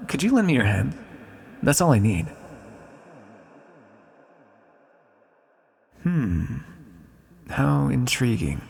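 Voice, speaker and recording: A man voicing an ancient banished villain, a magical being with a wry, world-weary and measured way of talking, in an intimate fantasy audio roleplay. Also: exaggerated voice. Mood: intrigued. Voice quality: husky, gravelly low voice. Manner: measured, musing, wryly teasing.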